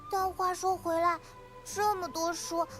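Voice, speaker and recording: Tiny squeaky childlike fairy girl. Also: unhurried, faint music behind. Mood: eager, curious. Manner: cutesy, expressive. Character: tiny childlike female fairy companion, eager, chatty. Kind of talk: anime game voice acting. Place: studio game dialogue with music behind.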